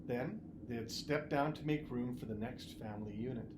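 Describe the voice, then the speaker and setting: A man reading a novel aloud in an expressive storytelling style, with emphatic, deliberate phrasing and short pauses. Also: medium pitch. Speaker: school superintendent reading aloud. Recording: noticeable background noise and ambience.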